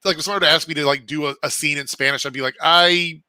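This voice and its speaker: Man, slightly high voice, rambling, filler-heavy casual chat. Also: fairly even intonation, fast, pushed and bright, dry recording. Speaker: co-host.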